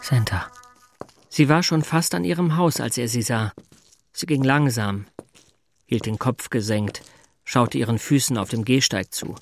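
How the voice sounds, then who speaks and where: An actor playing a hesitant, lovestruck single man in a radio play, brooding and tender with a gravelly creak. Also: mid-range voice, measured pace, pauses often. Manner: hesitant, brooding, short self-questioning phrases. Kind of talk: radio play narration and inner monologue. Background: music.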